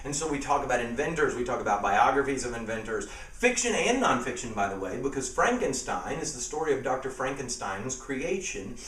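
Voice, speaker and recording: A man's voice: mid-range voice, expressive, a little fast, hardly any pauses, fairly bright tone, roomy sound, recorded from a distance, rough recording. A middle-aged man talking casually and emphatically about his school assembly show, repeating key phrases for effect. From Texas. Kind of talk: casual promotional talk to camera.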